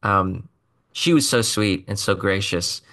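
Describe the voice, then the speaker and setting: A man with a lowish voice and a crisp, bright edge to it. He talks like a musician guest in a relaxed interview, rambling through a story with fillers and a self-mocking humor. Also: a little slow. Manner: chatty, self-deprecating, loose with fillers.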